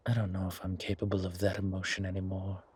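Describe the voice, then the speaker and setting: A man voicing an ancient, urbane vampire father, doting and predatory, with theatrical pauses in a horror audio drama. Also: deep voice, completely flat delivery, darkish tone, slightly rough. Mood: tender, musing. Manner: slow, savoring, theatrical delivery with long pauses.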